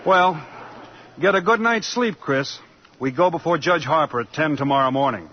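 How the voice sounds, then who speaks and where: An actor playing an earnest, determined young lawyer in 1940s radio-drama style, persuasive and loyal. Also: male voice, on the high side, moderate pace, close to an everyday voice. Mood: resolute. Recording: dull lo-fi 1940s radio broadcast with faint hiss.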